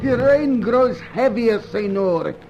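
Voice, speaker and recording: An actor playing a jittery, superstitious Latin American companion, who speaks formally and apologetically in a 1950s radio serial. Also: male voice, high voice, animated, takes it slowly, gravelly, a little mellow, faint music behind, full character voice. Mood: nervous. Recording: dull old radio recording with hiss.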